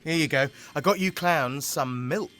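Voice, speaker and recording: A man's voice: a little high, normal speed, fairly bright tone, close to an everyday voice. An actor playing a cheerful, kindly male council funeral officer in an audio drama, friendly and slightly hesitant. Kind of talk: naturalistic audio drama acting.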